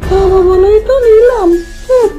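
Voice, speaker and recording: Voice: extremely high pitch, normal speed, mellow. A performer voicing a cheeky, whiny young village boy in a cartoon, with theatrical, exaggerated delivery. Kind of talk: exaggerated Bengali cartoon voice acting. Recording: dull lo-fi sound, faint music.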